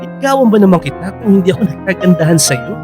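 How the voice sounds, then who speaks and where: A man's voice: high voice, sing-song, quick pace, music in the background. An actor playing an earnest, sincere young man in love in a Filipino radio drama.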